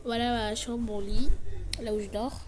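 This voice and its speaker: A woman with a French accent giving a casual room tour, stuffed up with flu and pausing often on "euh". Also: fairly high pitch, level tone. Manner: halting, with "euh" fillers. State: congested with flu. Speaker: vlogger.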